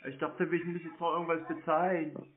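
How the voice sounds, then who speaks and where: Man, fairly high voice, mock-naive phone prankster, narrow tinny line. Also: level tone, fairly quick, slight background noise. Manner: feigned naive, teasing questions. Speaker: prank call taker baiting phone scammers. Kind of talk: casual phone prank chat. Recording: muffled, narrow-band phone call.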